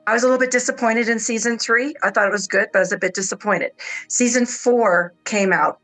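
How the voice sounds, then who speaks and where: A woman of about sixty chatting casually and enthusiastically as a panel guest, often halting and repeating words as she goes. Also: a little high, fairly bright tone, faint music behind, rough recording. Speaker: panel guest on a radio discussion. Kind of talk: casual chat. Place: radio roundtable discussion.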